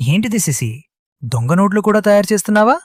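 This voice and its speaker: Cartoon drama: man voicing an agitated young husband, high and animated, dry. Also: brisk, no echo. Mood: accusing. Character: accusing younger man of the family.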